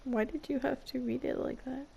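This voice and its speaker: A woman streaming a video game with a fairly high voice. She chats casually and playfully, answering the characters, with faint game music behind her. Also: fairly even intonation, normal speed, gravelly, darkish tone. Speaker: gameplay streamer. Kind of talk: casual live game commentary.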